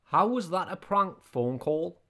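A man's voice: high-pitched, lively intonation, measured pace, a bit bright. A man in his thirties giving casual, scornful reaction commentary, often repeating his questions. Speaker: reaction video host.

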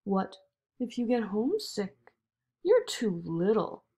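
Woman as a nagging, husky little voice of doubt. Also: medium pitch, very animated, dark tone, full character voice. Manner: discouraging, needling, slow. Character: small, nagging, doubting inner voice. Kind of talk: picture-book read-aloud.

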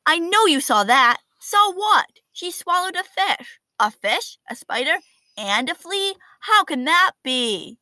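An astonished, excitable schoolchild from a picture book, acted out by a woman reader. The voice sits high and bright, full of exclamations and disbelieving questions. The sound is close and dry. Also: takes it slowly, dry recording, heavily acted. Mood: incredulous. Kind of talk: children's picture book read aloud.

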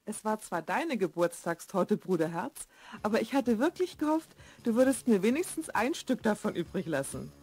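Young sister played by female performer for children's audio drama: teasing, lively swoops in intonation. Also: mid-range voice, normal speed, soft background music, natural voice. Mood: mock reproach. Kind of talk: children's radio play acting.

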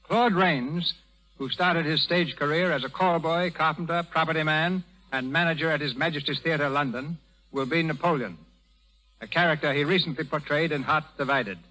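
A man's voice: on the high side, normal speed, poor audio. A man announcing and narrating a 1930s radio drama in a theatrical, declamatory style. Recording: old 1930s radio broadcast, loud music.

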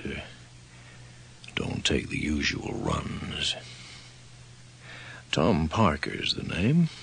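An actor playing a sinister old railroad man in a radio horror drama, with a folksy rustic drawl and sly, bargaining manner. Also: exaggerated voice. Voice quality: very deep, gravelly.

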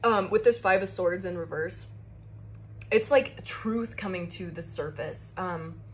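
A young woman doing a casual tarot reading to her phone camera in a small room, with a loud, carrying voice and a rambling, profane way of talking full of fillers. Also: lowish voice, unhurried, a little hiss or hum. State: shaky. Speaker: tarot reader.

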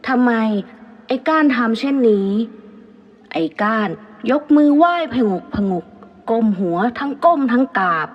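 A woman's voice: on the high side, expressive, measured pace. A woman storyteller narrating a scripted tale in a dramatic style. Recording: muffled, long reverb.

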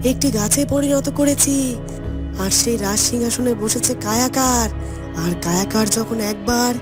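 A woman's voice: fairly high pitch, lightly acted. A woman voicing a scheming sorcerer who poses as king in a fairytale cartoon, boastful and commanding. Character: scheming, power-hungry usurper sorcerer posing as king. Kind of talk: dramatic cartoon voice acting. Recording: loud music bed. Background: music.

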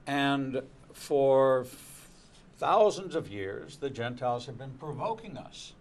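Older rabbi speaking earnestly. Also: male voice, medium pitch, animated, slow. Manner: earnest preaching tone with repeated words. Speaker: rabbi and show host. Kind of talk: studio panel discussion. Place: TV studio set.

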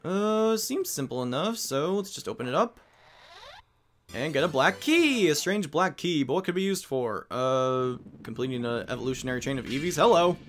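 A man hosting a let's play, giving chatty, self-mocking commentary with quick asides. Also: on the high side, lively intonation, fairly bright tone, a little hiss or hum. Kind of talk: casual game commentary.

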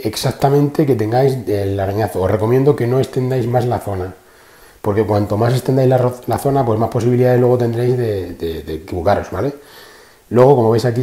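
A man's voice: mid-range voice, fairly quick, dark tone, roomy sound. Chatty man. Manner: chatty, repeats himself, frequent fillers. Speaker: car channel host. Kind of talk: casual how-to explanation. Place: indoor garage. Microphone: phone held in one hand.

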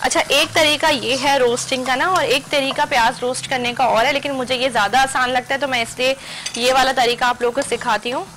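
A young woman with a slightly high voice teaches a recipe step by step on a TV cooking show. She speaks to viewers directly and instructively from a studio kitchen set. Her broadcast recording has steady noise and a sizzling pan behind her. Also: brisk, poor audio. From Pakistan. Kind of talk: TV cooking demonstration.

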